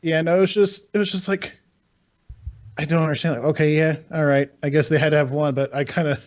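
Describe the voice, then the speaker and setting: A man's voice: on the high side, steady tone, fairly quick. Rambling, profane man, slightly muffled sound. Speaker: podcast co-host. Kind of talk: casual video game chat.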